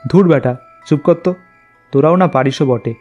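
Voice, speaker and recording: A man's voice: fairly high pitch, brisk, darkish tone, lo-fi sound, faint music behind, natural voice. A man playing a young, friendly, dutiful reporter in casual cartoon story dialogue. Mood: bantering.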